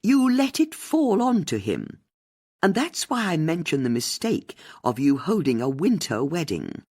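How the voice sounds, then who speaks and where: A woman's voice: low voice, wildly swooping pitch, unhurried, a little mellow, breathy edge, pitched above the performer's own voice, heavily acted. A woman voicing a shrewd, triumphant older female amateur sleuth in an audiobook, speaking precisely and theatrically as if laying out a case. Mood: needling, confident. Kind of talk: audiobook character dialogue.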